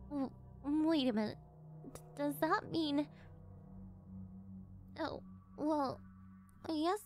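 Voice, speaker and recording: A woman voicing a shy, flustered young girl in love, hesitant and stammering, in an ASMR roleplay. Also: sits high.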